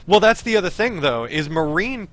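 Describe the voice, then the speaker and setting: A man's voice: high voice, sing-song, moderate pace, fairly bright tone. A man streaming a game, reading its narration aloud expressively and dropping into casual offhand commentary. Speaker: streamer playing a visual novel.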